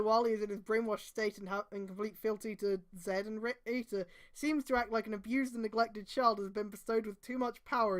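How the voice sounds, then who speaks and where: A young woman reading a web page aloud in casual chat, sarcastic and swearing, with sudden loud outbursts. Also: fairly high pitch. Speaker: host.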